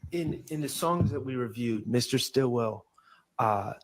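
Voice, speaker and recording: Male courtroom lawyer, medium pitch, deliberate pressing questions, slight background noise on the recording. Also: expressive. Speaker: examining lawyer. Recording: slightly noisy courtroom audio.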